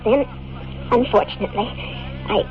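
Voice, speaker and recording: A tinny old radio recording with faint noise carries a woman acting as a young American time traveler in love. Her voice is high, and she speaks with earnest, pleading intensity in classic radio drama fashion. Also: normal speed, darkish tone, close to an everyday voice. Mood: regretful.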